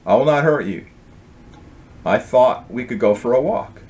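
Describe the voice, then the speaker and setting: A man's voice: medium pitch, animated, unhurried, darkish tone, voice pushed up, close to an everyday voice. Man reading as curious, persistent storybook hen. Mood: encouraging. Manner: questioning, insistent. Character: curious, persistent adult female chicken on a journey.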